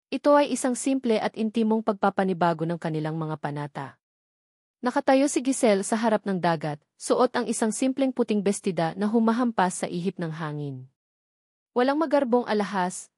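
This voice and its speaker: A woman's voice: mid-range voice, a little mellow, no echo, studio quality. A woman reading a scripted romantic drama as an audiobook narrator.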